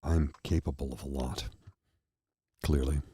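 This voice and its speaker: A tired man with a husky, slightly froggy throat, hosting his own show and talking earnestly and slowly to his viewers. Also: a bass voice, steady tone, dark tone, no room sound, studio quality. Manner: slow, earnest, heartfelt. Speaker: host. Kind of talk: candid personal talk to viewers.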